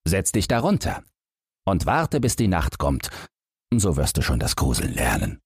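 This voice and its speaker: Man playing a sly fairy-tale wayfarer, slightly husky. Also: rumbling low, huge pitch swings, a little slow, no echo, clean studio sound, exaggerated voice. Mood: knowing. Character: sly male passer-by offering grim advice.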